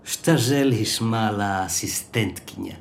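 Slow-talking, menacing biker boss. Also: male voice, fairly low pitch, gravelly, background noise. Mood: mocking, menacing. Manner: slow, condescending, threatening. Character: menacing biker club boss, mocking and condescending. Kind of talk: crime radio drama acting.

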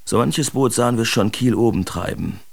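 An actor playing a brash, mocking male Dadaist artist, reciting theatrically in an expressive radio drama. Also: medium pitch, normal speed, gravelly. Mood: fervent, reflective.

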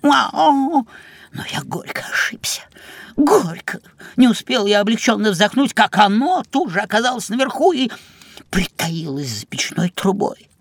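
A talking tomcat from a children's audio play, theatrical and willful. The voice is husky and swoops widely, exclaiming and faltering in courtly fashion. The recording is close and dry. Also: mid-range voice, sing-song, a little slow, dry recording. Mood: breathless, dramatic storytelling. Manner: theatrical, exclaiming, hesitant, addresses listener as sir. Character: dramatic, cowardly, willful talking tomcat, courtly toward his master.

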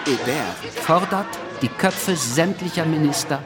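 An actor playing an urgent chronicler of war and riot in a radio drama, proclaiming forcefully. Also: male voice, on the high side, animated, unhurried. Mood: alarmed. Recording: loud crowd and music behind.

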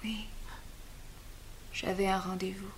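A young actress voicing a stubborn but tender princess, speaking softly and often in a whisper in a stage drama. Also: female voice, mid-range voice, steady tone, measured pace, breathy edge. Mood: quiet. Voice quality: soft, often whispered delivery.

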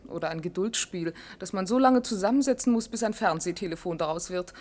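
A woman's voice: lowish voice, brisk, darkish tone, close to an everyday voice. A woman playing a restless, disillusioned wife in a vintage science fiction radio play. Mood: scornful. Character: restless, disillusioned wife in a forced-happiness future.

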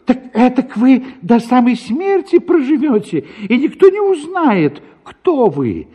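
Very high, blustering older man. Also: sing-song, mellow, full character voice. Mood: coaxing. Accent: Russian. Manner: blustering, repetitive, drawn-out exclamations. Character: exasperated, stubborn, wheedling older prospective father-in-law. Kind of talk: theatrical radio drama acting of a classic comic story.

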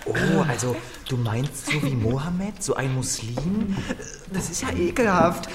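An actor playing a smart-mouthed, mocking, mock-pompous teenage schoolboy in a radio play. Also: male voice, a little high, lively intonation, measured pace, crowd noise. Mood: mocking disgust. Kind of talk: German radio play acting.

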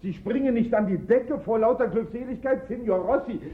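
Radio drama: effusive young-hearted assistant, very high and excitable, tinny phone-like band. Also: male voice, fairly quick. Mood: jubilant. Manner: breathless enthusiasm, stammering, exclaiming. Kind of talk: German radio play acting.

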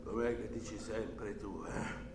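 An actor playing an older, overbearing, anxious country uncle in a theatrical, emphatic and volatile radio drama style. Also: male voice, fairly low pitch, takes it slowly. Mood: aggrieved, insistent. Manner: emphatic, volatile, swinging from hushed to exclaiming. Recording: roomy echo, faint background noise.

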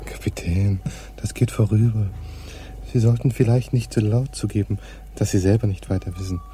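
A man's voice: on the low side, fairly even intonation, normal speed, mellow, a little hiss or hum, lightly acted. An actor playing a loyal, deferential crewman in a radio drama, speaking politely and confidingly. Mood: confiding, reassuring. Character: deferential, discreet male crewman, quietly loyal. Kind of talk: German radio drama acting.

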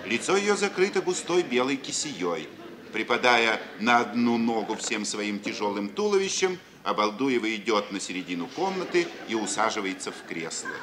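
Expressive male radio narrator, noisy recording. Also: on the low side, normal speed. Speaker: narrator reading stage directions. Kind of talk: scripted dramatic narration, 1950s radio.

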